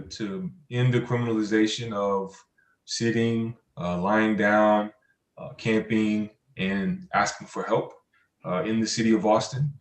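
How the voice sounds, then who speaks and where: Male program director on a panel. Roomy sound, lowish pitch, halting and measured. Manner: hesitant, halting, measured phrasing. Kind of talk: explaining advocacy work on a live panel.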